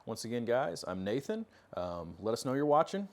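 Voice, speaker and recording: A man's voice: medium pitch, very animated, moderate pace. A man in his thirties with an American accent, hosting an informal instructional talk to camera and explaining things casually. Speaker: host of a product tech talk series. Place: indoor workshop studio with metal cabinets.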